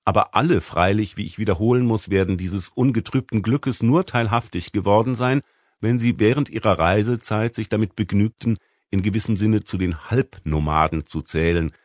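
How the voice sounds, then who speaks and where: A man narrating a literary audiobook in a measured, formal manner with rhetorical emphasis. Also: fairly low pitch, a little mellow, no room sound, studio quality. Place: dry recording studio.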